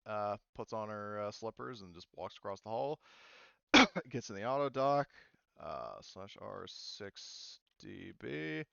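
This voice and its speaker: A man's voice: on the low side, big pitch swings, slow, gravelly, sharp tone, no room sound. Gm guy rambling through the scene, lots of likes and uhs, slightly dull dry audio. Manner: rambling, many fillers. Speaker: game master. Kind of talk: narrating a tabletop game.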